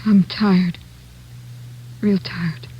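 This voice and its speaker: A woman's voice: fairly low pitch, steady tone, drawn-out words, soft background music. Weary, grieving American woman, muffled recording. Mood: drained, weary. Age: 30s. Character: weary, grieving working-class older sister, thirty-one. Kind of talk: 1950s radio drama acting. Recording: dull old radio recording.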